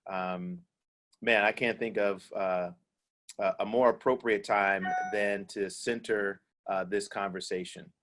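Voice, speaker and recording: Earnest pastor, low voice, halting. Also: male voice, steady tone, fairly bright tone, soft background music. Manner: hesitant, many fillers, earnest. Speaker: pastor and moderator. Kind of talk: church panel discussion on a video call. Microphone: video call.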